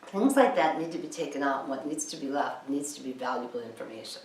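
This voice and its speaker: A woman's voice: rumbling low, animated, brisk, darkish tone. A woman giving an instructional training lecture in an emphatic, insistent way, often posing rhetorical questions. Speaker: cleaning business trainer. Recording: echoey room, distant mic, background noise.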